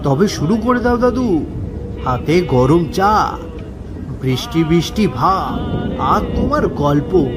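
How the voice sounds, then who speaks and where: Brief: male actor as eager cartoon youngster, raised pitch, very slow savouring delivery, music behind. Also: sing-song. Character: eager young listener, grandchild asking for a story. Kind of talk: animated story dialogue.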